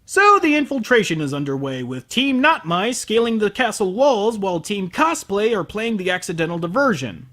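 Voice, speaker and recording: A man's voice: high-pitched, big pitch swings, moderate pace, few pauses, bright, forceful tone. A man delivering a scripted comedic review as host, sarcastic, exasperated and prone to swearing. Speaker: host of an anime review show.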